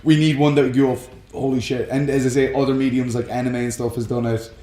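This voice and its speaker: A man's voice: medium pitch, flat delivery, quick pace, dark tone, reverberant. A man co-hosting a casual, jokey banter show about movies, often chuckling as he talks. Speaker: co-host of a film discussion show.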